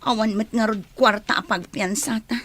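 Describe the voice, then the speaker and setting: A woman's voice: medium pitch, steady tone, moderate pace, fairly bright tone, lightly acted. A woman playing a regretful, resigned crook in a radio drama, with an Ilocano accent.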